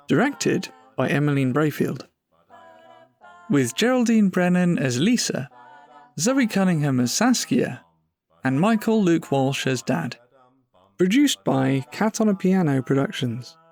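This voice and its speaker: Guy reading podcast credits, highish lively voice, music playing behind him. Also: gravelly. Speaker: announcer. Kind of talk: scripted podcast closing credits.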